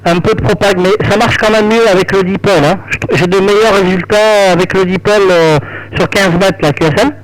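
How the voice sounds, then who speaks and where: A man with a fairly high voice speaks as an amateur radio operator in a relaxed, casual exchange. He is chatty and conversational, often closing his phrases with little tag words. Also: a little fast, rough recording.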